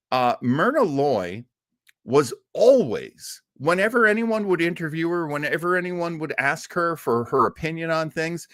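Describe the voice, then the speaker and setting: Lively, chatty male stream host. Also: fairly high pitch, dry recording, studio quality. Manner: chatty and enthusiastic, with frequent fillers and restarts. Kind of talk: casual livestream chat.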